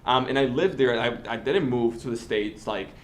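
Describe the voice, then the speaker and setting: A man in his twenties from the Dominican Republic, a native Spanish speaker, talking casually with lots of fillers and restarts. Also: mid-range voice. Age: 20s. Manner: fast, filler-heavy talk with many restarts. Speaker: host. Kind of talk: casual interview and tutorial talk.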